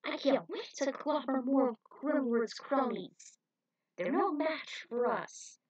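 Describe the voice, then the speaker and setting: A woman voicing an overzealous mutant monster soldier, boastful and excited, in an action story. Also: high voice, slightly rough, dull audio, pitched above the performer's own voice, exaggerated voice. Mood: gleeful, boastful. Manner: boastful, excited, drawn-out shouts.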